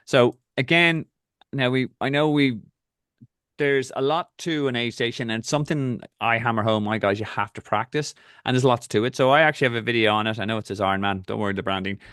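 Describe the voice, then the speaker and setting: A man's voice: medium pitch, a bit bright, smooth, no room sound, clean studio sound. A man with an Irish accent, a triathlon coach hosting a casual talk and giving direct, practical advice. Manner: direct, instructive, rapid asides.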